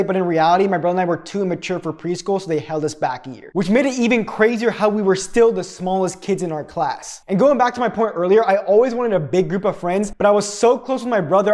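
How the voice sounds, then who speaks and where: A man's voice: high-pitched, darkish tone. A man in his twenties from the United States, narrating his own life story in a blunt, candid, scripted way as a fitness influencer. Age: 20s.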